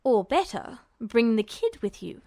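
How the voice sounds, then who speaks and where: A woman's voice: fairly high pitch, big pitch swings, measured pace, darkish tone, no echo, studio quality, heavily acted. A woman voicing a smug, bullying male military guard who gives curt commands, in a story reading. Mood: smug, commanding. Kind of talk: audiobook-style character voice.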